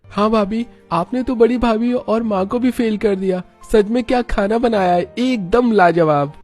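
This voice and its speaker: A man voicing a lazy, cheerful young brother-in-law in a cartoon, with a boyish voice and familiar, drawn-out delivery. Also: high voice, darkish tone, soft background music, voice pushed up. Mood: delighted.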